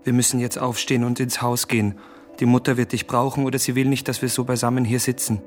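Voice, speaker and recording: Actor as Andreas, reflective man, medium pitch. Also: little pitch movement, fast talker, soft background music, lightly acted. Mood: uneasy. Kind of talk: lyrical literary radio narration.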